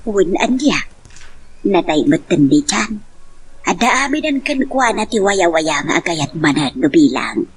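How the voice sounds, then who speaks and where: A woman's voice: fairly low pitch, very animated, measured pace, slight background noise. A woman playing a worried, pleading mother in an amateur family love drama, speaking with an Ilocano accent. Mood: earnest, concerned.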